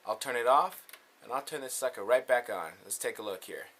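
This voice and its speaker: A bright, forceful man with a mid-range voice hosts a hands-on tutorial. He speaks casually and informally, using everyday slang as he explains each step. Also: mid-range voice, animated, fairly quick, a little hiss or hum. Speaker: tutorial host.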